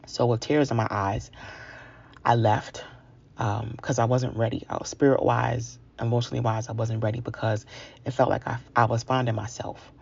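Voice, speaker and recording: A woman's voice: extremely low pitch, fairly even intonation, moderate pace, creaky, a little mellow, slightly rough, dull audio. A woman of around forty from New York, telling a personal story in a candid, conversational, confiding way. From New York, United States. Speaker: featured storyteller.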